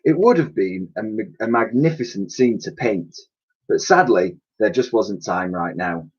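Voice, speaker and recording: A man reads a children's story aloud with dramatic flair. His voice is slightly rough and swings widely in pitch. The recording is a little dull and lo-fi. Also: lively intonation, a little slow. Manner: dramatic, with occasional stumbles. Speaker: primary school teacher reading aloud. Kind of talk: expressive children's storybook reading.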